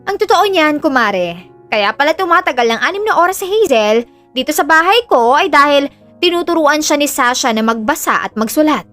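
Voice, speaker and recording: A woman's voice: sits high, animated, normal speed, fairly bright tone, faint music behind. A woman with a Filipino accent playing a kindly, reassuring lady of the house in an audio drama.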